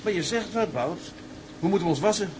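A man's voice: sits high, animated, fairly quick. An actor playing a matter-of-fact seaman in a radio drama. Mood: questioning. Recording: noisy recording with background ambience.

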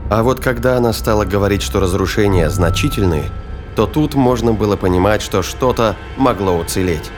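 A man reading scripted narration for a science fiction audio drama. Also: lowish voice.

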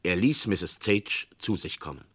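A man narrating a radio crime drama in a measured, documentary way. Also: deep voice, lively intonation, fairly bright tone.

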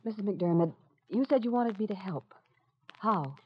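A woman's voice: mid-range voice, animated, moderate pace, faint music behind, close to an everyday voice. A woman playing a compassionate, determined newspaper reporter, direct and resolute, in an old radio drama. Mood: gentle, concerned. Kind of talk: 1940s-50s radio drama acting. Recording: muffled, noisy old radio recording.

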